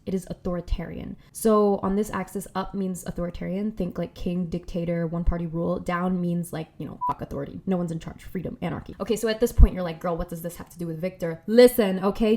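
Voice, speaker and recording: A young woman talking through a casual commentary video essay in a chatty, slangy way with animated asides. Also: lowish voice.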